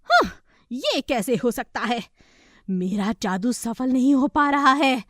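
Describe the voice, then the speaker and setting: Lady doing wicked cartoon witch, high swoopy voice, slow drawn-out menace, out for revenge. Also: big pitch swings, fairly bright tone, no room sound, clean studio sound, exaggerated voice. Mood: frustrated, determined. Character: vengeful, scheming, cackling evil sorceress. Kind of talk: children's cartoon story villain. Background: music.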